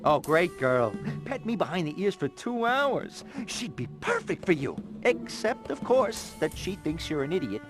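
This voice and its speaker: Cocky critter sidekick, video game, playful, animated intonation, clear music behind. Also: male voice, sits high, measured pace, few pauses. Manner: playful, glib, boastful. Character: cheeky, self-important talking male animal sidekick. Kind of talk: cartoonish video game cutscene acting.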